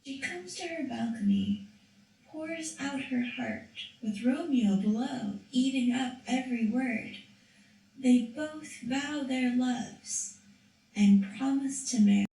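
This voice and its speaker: Woman reading a puppet show story, kinda high voice, slow, echoey room. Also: creaky, warm tone, distant mic. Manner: slow, careful, with restarts. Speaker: narrator of a school puppet show. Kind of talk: storytelling narration.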